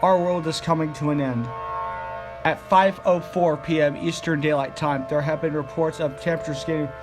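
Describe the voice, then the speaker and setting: A man reading a solemn amateur emergency broadcast as announcer, in a grave, deliberate, halting way. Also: fairly high pitch, steady tone, over music.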